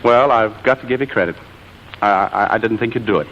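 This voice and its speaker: A man's voice: fairly low pitch, fairly quick, fairly bright tone. A man playing a cocky, teasing suitor, wisecracking sardonically in 1940s comedy style. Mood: sardonic teasing. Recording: muffled old soundtrack, faint hiss.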